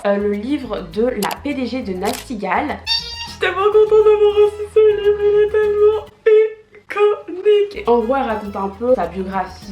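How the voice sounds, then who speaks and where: A seventeen-year-old girl chatting casually through a birthday haul, bubbly and slangy, with bursts of excitement. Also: on the high side, reverberant. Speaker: vlogger. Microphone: camera mic.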